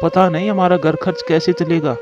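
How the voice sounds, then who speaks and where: A man's voice: on the high side, fairly even intonation, moderate pace, close to an everyday voice. A man voicing an earnest, protective male bird in a storybook cartoon, on dull lo-fi audio. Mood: worried. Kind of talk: Hindi moral-story cartoon dubbing.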